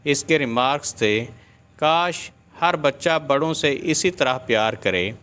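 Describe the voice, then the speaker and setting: A man's voice: medium pitch, expressive, fairly bright tone, smooth. A man reading a scripted moral story as a storyteller.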